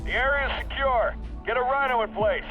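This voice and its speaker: A gruff army sergeant, blunt and wry, in video game military acting. Music runs clearly behind the voice. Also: male voice, very high voice, a little fast. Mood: commanding.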